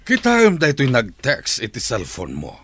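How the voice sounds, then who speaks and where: A man's voice: mid-range voice, huge pitch swings, moderate pace, a bit bright, a little hiss or hum. A man playing a stern, commanding middle-aged father in an audio drama.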